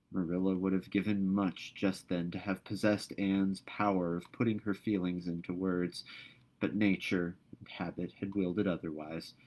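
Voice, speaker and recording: A man reading a classic storybook aloud as narrator, in an expressive scripted style. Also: low voice, poor audio. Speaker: audiobook reader.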